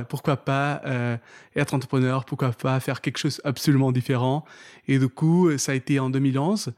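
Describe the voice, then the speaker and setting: A man's voice: medium pitch, a little mellow. A man from Portugal with a Portuguese accent and Portuguese as his first language, a guest on a podcast, talking casually with many fillers and restarts. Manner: hesitant, many fillers and restarts, laughs easily. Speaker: podcast guest, startup founder. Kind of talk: casual interview.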